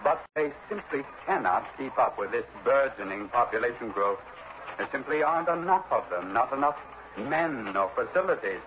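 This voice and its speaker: An actor playing an earnest, fretful insurance executive in a 1960s radio drama, wordy and hesitant, on a muffled, noisy old recording. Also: male voice, medium pitch, expressive, normal speed, fairly bright tone, natural voice. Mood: fretful, explaining. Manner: hesitant, wordy, emphatic repetition.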